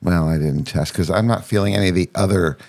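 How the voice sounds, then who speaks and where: A man hosting a podcast in casual, rambling chat, his throat congested and his voice husky from a sinus cold. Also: deep voice, fast, darkish tone. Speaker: podcast host.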